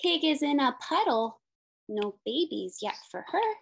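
A woman's voice: sits high, very animated, a little slow, creaky, a little hiss or hum. A woman in her thirties reading a picture book aloud as a teacher, in simple sing-song phrases with questions for young children. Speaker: special education teacher.

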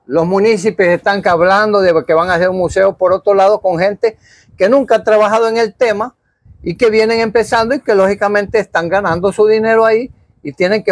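An older man, a historian being interviewed in a small study, talking casually and pausing to search for words. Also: high-pitched, no room sound. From Honduras. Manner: halting, searches for words, gestures while talking. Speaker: historian guest. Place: small cluttered study with bookshelves.

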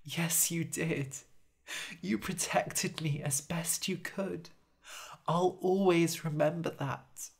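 A man voicing a gentle young male fairy who speaks softly, nearly whispering. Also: on the high side, fairly even intonation, slow pace, creaky. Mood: tender. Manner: soft, hushed, near whisper.

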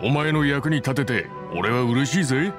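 A man's voice: medium pitch, normal speed, music in the background, lightly acted. A man voicing a loyal young boatbuilder in an anime game, speaking in a casual, blunt masculine way. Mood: friendly. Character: young boatbuilder, wary but loyal, easygoing friend.

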